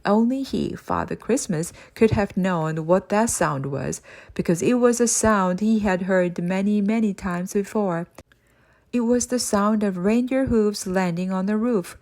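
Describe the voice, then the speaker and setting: Woman, audiobook narrator, scripted children's storytelling, medium-pitched voice. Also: a little slow, a little mellow. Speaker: audiobook reader.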